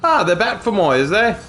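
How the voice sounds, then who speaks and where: A young British man commentates his gameplay live with a high, bright voice that leaps all over the place. He hams it up, slinging British slang and cheeky curses at his chat. A touch of soft background noise sits under him. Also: sing-song, normal speed. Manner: theatrical, jokey, lots of British slang and swearing. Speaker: game streamer.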